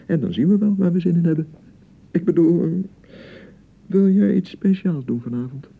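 A man acting an excitable young newlywed husband in a radio drama, by turns blustering and awkwardly pleading. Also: fairly high pitch, moderate pace, vocal fry, husky, phone-quality sound, full character voice. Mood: awkward, coaxing. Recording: thin, band-limited 1990 radio broadcast.

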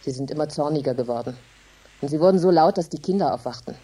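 A woman's voice: low-pitched, fast talker, slightly rough. An actress playing a grief-stricken, embittered poor farm widow, telling her story intensely and dramatically in a radio play. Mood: sorrowful, bitter. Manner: intense, dramatic, emphatic storytelling with hushed drops. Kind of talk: German radio drama monologue.

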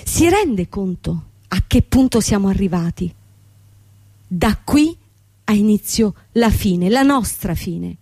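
An actress playing a young, proud, bitter bankrupt company owner in a theatrical radio drama. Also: female voice, medium pitch, animated, slow, warm tone, dry recording. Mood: anguished, sombre. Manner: theatrical, dramatic delivery with repetition.

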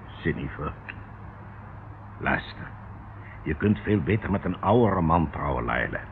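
Deep-voiced, secretive old ship captain. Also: male voice, expressive, unhurried, vocal fry, heavily acted. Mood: coaxing, secretive. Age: 50s. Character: gruff, evasive ship captain in his fifties, scheming suitor. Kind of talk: radio drama acting. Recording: tinny band-limited old radio recording, noisy.

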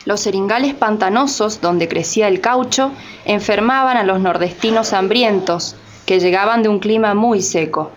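A woman's voice: medium pitch, moderate pace. A woman narrates a scripted radio drama in a mid-range voice. The sound is a little dull, with faint background noise.

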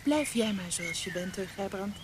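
A woman's voice: medium pitch, animated, normal speed, natural voice. An actress playing a frank, devoted young woman in a radio play, teasing and affectionate. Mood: teasing, fond. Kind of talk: Dutch radio play acting. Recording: noisy old radio play recording.

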